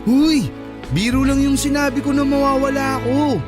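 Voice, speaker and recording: A teasing young boyfriend in a tagalog radio drama, high voice, coaxing, loud music under it. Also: male voice, fairly even intonation, moderate pace. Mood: apologetic, coaxing. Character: playful, teasing young man, affectionate son and boyfriend.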